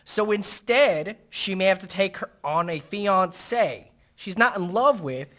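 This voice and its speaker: A naive, earnest marina worker. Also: male voice, sits high, animated, measured pace, sharp tone, exaggerated voice. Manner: earnest, guileless. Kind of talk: radio play acting.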